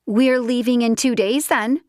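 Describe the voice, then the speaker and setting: A woman voicing a furious, haughty woman who is shrill and demanding in an audiobook. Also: steady tone, unhurried, dry recording, studio quality, lightly acted. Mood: seething.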